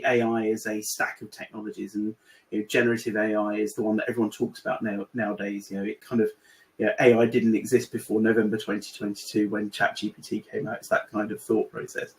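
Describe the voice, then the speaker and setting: Man, British accent, webinar guest, halting with fillers, video call audio. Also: mid-range voice, low-quality recording. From United Kingdom. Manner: halting, many repeated words and fillers. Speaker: guest speaker on AI in recruiting. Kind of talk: webinar presentation.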